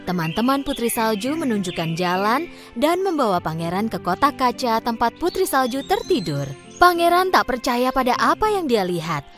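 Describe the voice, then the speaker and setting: A woman narrating a children's cartoon fairy tale in an expressive storytelling manner. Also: fairly high pitch.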